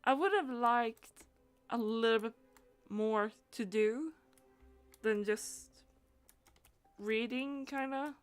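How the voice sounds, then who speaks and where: A woman's voice: on the high side, slow pace, pauses often, clean tone, soft background music. A woman doing casual live gaming stream commentary, thinking aloud hesitantly and letting phrases trail off.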